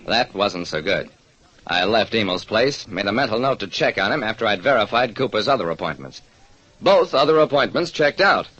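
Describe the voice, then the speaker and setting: A man's voice: lowish voice, expressive, normal speed, noisy background, natural voice. A man playing a shrewd, wry hardboiled private detective narrating an old radio mystery. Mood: wry, probing. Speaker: narrator and lead actor. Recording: muffled old radio recording.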